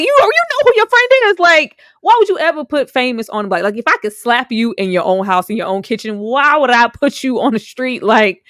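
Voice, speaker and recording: Animated woman co-hosting a recap. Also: fairly high pitch, dry recording, studio quality. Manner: animated, acts out characters' lines. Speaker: co-host. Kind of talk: casual TV recap chat.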